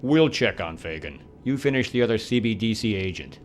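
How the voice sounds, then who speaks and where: A man's voice: on the low side, lively intonation, fairly quick, slight background noise, natural voice. A man voicing a ruthless male agency commander in a game cutscene, giving curt orders. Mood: cold, commanding. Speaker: commander of a rogue agency team.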